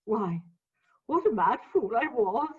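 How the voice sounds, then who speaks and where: A woman's voice: on the high side, very animated, takes it slowly, breathy edge, full character voice. An actress playing a jealous, grandly wronged tragic woman in a comic radio play, declaiming theatrically over a video-call recording. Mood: haughty reproach. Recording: tinny, band-limited video-call recording.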